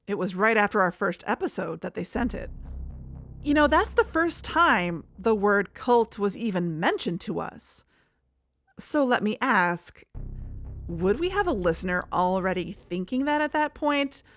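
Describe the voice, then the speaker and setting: A woman's voice: mid-range voice, sing-song, unhurried, a bit bright. A woman playing an earnest, suspicious podcast investigator in a conversational audio drama. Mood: pensive, suspicious. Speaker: podcast co-host. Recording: music under the voice.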